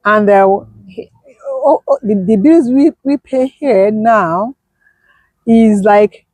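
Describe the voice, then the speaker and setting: A woman talks as a guest in an interview, her voice husky and soft-edged with a mellow, dark tone. She hammers her points home by repeating them. The sound is close and dry. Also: on the high side, slow pace, no room sound, rough recording. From Nigeria. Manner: emphatic, repeats key points. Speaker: guest, business owner. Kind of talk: conversational interview.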